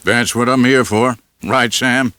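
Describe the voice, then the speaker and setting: A man's voice: mid-range voice, fairly even intonation, a little slow, bright, forceful tone, clear, resonant tone, no room sound, voice pushed up. A man voicing a brusque small-town sheriff in an audiobook, plain-spoken and authoritative. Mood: brisk, official.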